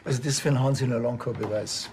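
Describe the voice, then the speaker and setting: Man as a gravelly, dry Bavarian policeman. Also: mid-range voice, fast, lightly acted. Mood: dry, scheming. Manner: dry, laconic, sarcastic. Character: wry, sardonic male village policeman. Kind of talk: TV crime comedy acting.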